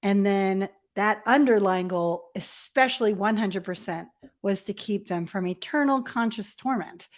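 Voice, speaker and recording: A middle-aged woman from Ethiopia, telling personal stories as an interview guest in a candid, animated way with self-deprecating humour. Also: medium pitch, a little slow. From grew up in Ethiopia as a missionary kid. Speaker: podcast guest. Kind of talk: conversational personal storytelling.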